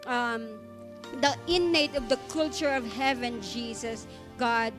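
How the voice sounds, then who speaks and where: Young filipino accented woman, high voice, takes her time, earnest church talk n prayer on handheld mic. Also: takes it slowly, over music. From Philippines. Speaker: church speaker leading offering. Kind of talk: devotional talk and prayer to a congregation. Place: indoor church stage. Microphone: handheld microphone.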